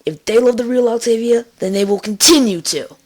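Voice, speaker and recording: A woman's voice: fairly high pitch, a little fast, darkish tone, close to an everyday voice. A woman voicing a young female pony, blunt and protective, speaking earnestly and emphatically in a fan fiction drama. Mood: firm, reassuring.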